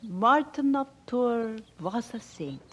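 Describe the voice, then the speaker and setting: An actress playing a gentle, devoted peasant mother in a radio drama, soft and reassuring. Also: fairly high pitch, lively intonation, slow pace, slightly rough, highs cut off, exaggerated voice. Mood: tender.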